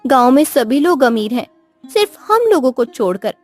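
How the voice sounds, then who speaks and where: A woman's voice: high voice, very animated, moderate pace, darkish tone, faint music behind. A woman voicing a poor, wistful village wife who nags and pleads in a cartoon story. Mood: wistful, unhappy. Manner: plaintive, pleading. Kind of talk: Hindi cartoon moral story voice acting.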